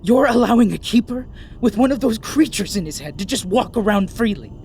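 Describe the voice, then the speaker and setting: A man's voice: high voice, lively intonation, brisk, gravelly, darkish tone, a little hiss or hum, voice pushed up. A male narrator voicing a tense, frightened man who protests anxiously and struggles to catch his breath in an audio drama. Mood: alarmed.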